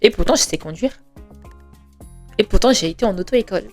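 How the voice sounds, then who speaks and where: A woman's voice: mid-range voice, expressive, a little fast, darkish tone. A woman in her twenties hosting a podcast, talking in an earnest, conversational way, with frequent hesitations, rhetorical questions and emphatic reassurance. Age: 20s. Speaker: podcast host. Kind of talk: reflective monologue. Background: faint background music.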